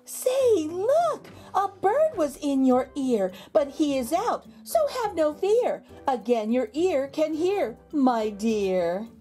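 High, animated woman reading to kids. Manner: expressive, exaggerated intonation with drawn-out words. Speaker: children's book reader. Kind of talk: animated picture-book read-aloud for young children. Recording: background music under the voice. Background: music.

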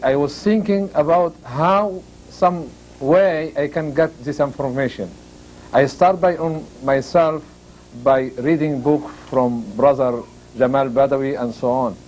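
A man's voice: mid-range voice, darkish tone, a little hiss or hum, poor audio. Older man giving a sincere speech. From Egypt. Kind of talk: short speech at a ceremony. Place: community hall. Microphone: podium mic.

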